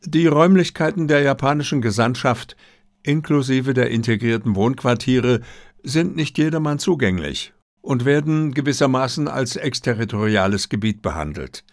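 A narrator putting on a discreet male Japanese envoy in an audiobook, courteous and measured. The voice is lifted above the narration and recorded close and dry. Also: medium pitch, expressive, no room sound, voice pushed up, close to an everyday voice. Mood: formal, explaining. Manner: courteous, measured, formally worded. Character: formal, discreet Japanese diplomat, secretly a security officer. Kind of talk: audiobook character dialogue.

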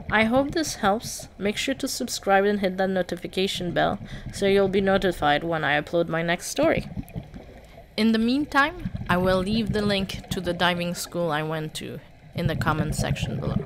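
A woman reads her own scripted voice-over at a measured, slightly stiff pace. Her voice sits at an ordinary middle pitch, and a clear hum of background noise runs under the recording. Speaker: vlogger.

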